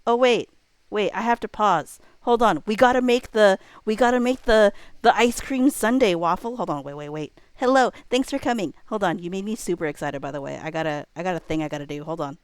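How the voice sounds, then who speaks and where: A woman's voice: mid-range voice, brisk, clean tone, no echo. A woman livestream host chatting casually and thinking aloud, rambling and often interrupting herself. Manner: rambling, self-interrupting, informal.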